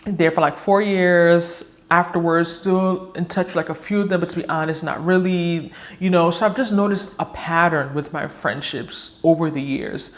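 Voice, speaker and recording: A woman's voice: fairly low pitch, fairly even intonation, moderate pace, few pauses. Candid woman in her thirties vlogging. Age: 30s. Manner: candid, reflective, frank. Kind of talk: casual personal vlog talk.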